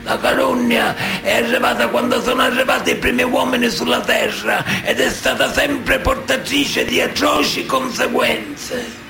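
Music plays behind a roomy, echoing radio-play monologue. an old Sicilian mafia boss, very gravelly and piously aggrieved, declaiming his own innocence with theatrical self-pity. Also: extremely high pitch, level tone, moderate pace, exaggerated voice. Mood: pious, defiant. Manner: declamatory, sanctimonious, self-pitying.

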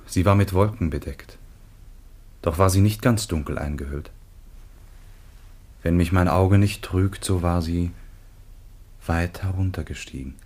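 An actor playing a dutiful, troubled grown son in a classical radio play, speaking with solemn, measured reverence. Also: male voice, sits low, a little mellow. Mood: hushed, bewildered.

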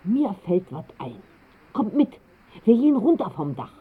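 An actress playing a spirited, bossy working-class woman with a Berlin accent, brisk and scolding, in a radio drama. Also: mid-range voice, sing-song, husky, exaggerated voice. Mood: brisk, impatient. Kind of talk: 1960s German radio drama acting. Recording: muffled band-limited old radio recording.

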